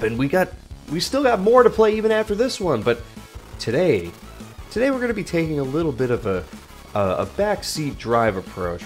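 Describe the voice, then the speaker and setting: Stuffy-nosed man talking through a game. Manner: lively greeting, then low muttered thinking aloud while playing. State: stuffy from a cold. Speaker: let's play host. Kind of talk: gameplay commentary. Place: home room with shelves of plush toys, indoors. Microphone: large studio mic on a boom arm.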